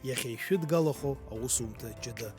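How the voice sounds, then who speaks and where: A man's voice: medium pitch, a little fast. A man reading scripted documentary narration. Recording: music under the voice. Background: music.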